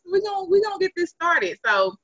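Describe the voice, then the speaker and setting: Lively bright-voiced woman hosting a video call. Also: very high voice, fairly quick, no room sound, muffled recording. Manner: upbeat, excited greeting. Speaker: host. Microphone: video call webcam.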